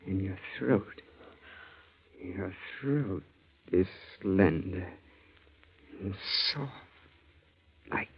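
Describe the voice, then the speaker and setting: Slow, husky, menacing young man. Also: low-pitched, exaggerated voice. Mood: eerie fascination. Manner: slow, halting, dreamy delivery. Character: menacing, unhinged, lonely young man fixated on a girl. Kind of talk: 1940s radio thriller acting. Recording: tinny, muffled 1940s radio recording.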